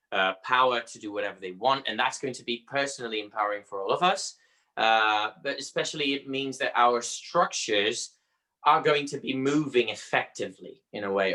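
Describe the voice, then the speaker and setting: Brazilian-accented man, Portuguese native, bright forceful tone, halting delivery, guest expert. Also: medium pitch. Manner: halting, searching for words with frequent pauses. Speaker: astrologer guest. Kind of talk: conversational interview.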